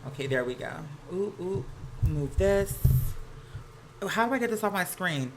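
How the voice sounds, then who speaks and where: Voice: mid-range voice, animated, moderate pace, rough recording. An American livestream host chatting casually in a campy, sassy, slang-heavy way. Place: at home, laundry running nearby. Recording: some household noise behind the voice. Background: laundry noise.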